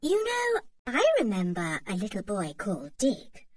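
A woman reading aloud, voicing a gentle, reassuring mother in a children's storybook. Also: medium pitch, huge pitch swings, a little slow, husky, dry recording, clean studio sound, exaggerated voice. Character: kindly calm mother of three.

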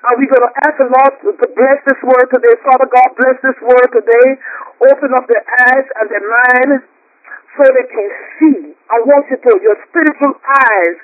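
A woman's voice: high voice, fairly even intonation, moderate pace, no echo. A woman with a Puerto Rican accent preaching a fervent sermon with many repeated restarts, over a phone line. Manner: fervent, repetitive, stammering restarts.

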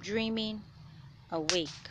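A woman reading a children's science picture book aloud, with measured, clear phrasing for young listeners. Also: mid-range voice. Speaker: children's read-aloud host. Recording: noticeable background noise.

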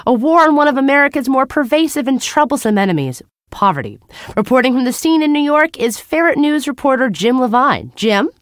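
Chirpy, lively news anchor. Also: female voice, high-pitched, fairly quick, dry recording, clean studio sound. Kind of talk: parody newscast.

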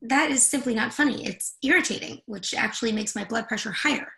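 Woman as a gravelly, forceful stressed professor. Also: a little high, fairly quick. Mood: hurried, irritated. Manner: emphatic, impatient. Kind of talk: play reading. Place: indoors at home, on a video call.

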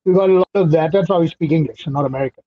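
Husky South African man on a video call. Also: a little high, racing, no room sound. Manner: relaxed, chatty, laughs easily. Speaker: guest fighter. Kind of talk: casual video-call interview. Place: small indoor room. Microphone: headset on video call. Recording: muffled lo-fi call audio.

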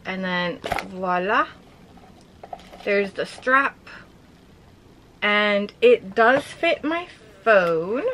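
A young woman chatting casually as she shows off items from her collection, gushing with lots of filler words and a slightly hoarse voice. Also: medium pitch, animated, drawn-out words, long pauses, fairly bright tone, slight background noise. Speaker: vlogger showing her collection. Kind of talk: casual show-and-tell chat.

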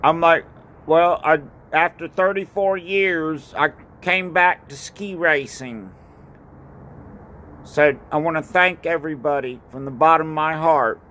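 Older man, phone video outdoors; slow, halting, filler-heavy delivery, slightly raised pitch. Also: sharp tone, a little hiss or hum. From North Carolina. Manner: rambling and halting, with many fillers and repeated thanks. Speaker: ski racer and video maker. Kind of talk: casual home video. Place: outdoor porch. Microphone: phone camera at arm's length.

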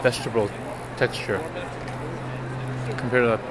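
A man's voice: medium pitch, little pitch movement, normal speed. A man in his thirties hosting a casual tasting video, talking through each bite as he goes. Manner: chatty, reacts aloud while tasting. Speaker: host. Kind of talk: casual food-tasting street interview. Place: busy indoor expo hall. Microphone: handheld mic. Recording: crowd chatter and hall noise behind.

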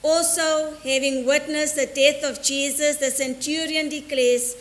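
A middle-aged woman priest from South Africa delivering a measured, deliberate sermon from the altar, slowing down for quotations. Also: high-pitched, fairly even intonation, fairly bright tone. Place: church sanctuary in front of an altar. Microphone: stand mic at the altar, filmed by a fixed camera. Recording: long church reverb with faint hiss.

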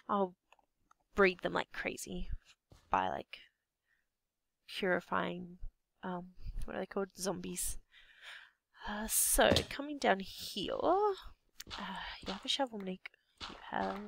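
A young Australian woman with a slightly croaky voice, half-whispering to herself as she commentates her building game. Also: mid-range voice, a bit bright, no room sound. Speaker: let's play gamer. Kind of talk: casual gameplay commentary.

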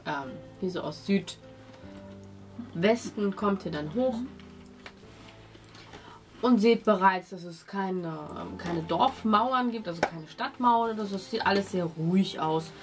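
A woman runs a tabletop fantasy game as its game master, in a mid-pitched voice. She improvises her descriptions, feeling for words, while music plays clearly behind her. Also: measured pace, long pauses.

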